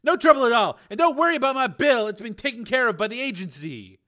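Reassuring male physician, played by a male narrator, high-pitched, professional and matter-of-fact, bright delivery for audio fiction. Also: expressive, quick pace, full character voice. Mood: reassuring, businesslike. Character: calm, reassuring male doctor giving a bedside report.

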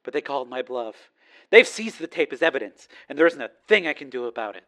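A man's voice: medium pitch, very animated, quick pace, fairly bright tone. A man voicing a determined female TV news reporter in an audiobook, earnest and insistent in manner. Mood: apologetic, frustrated.

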